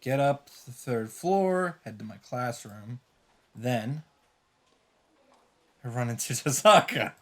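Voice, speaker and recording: A man's voice: medium pitch, expressive, takes it slowly, pauses often. A man playing through a visual novel, reading its narration aloud and dropping in wry, offhand comments. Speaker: let's player. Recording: loud game music behind. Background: visual novel background music.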